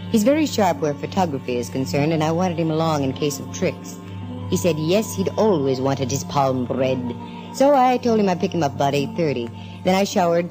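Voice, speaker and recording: An actress in a 1940s radio drama playing a breezy, self-assured private eye, with wry, slangy delivery. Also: female voice, deep voice, natural voice, low-quality recording. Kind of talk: 1940s radio acting, wry first-person detective narration and dialogue.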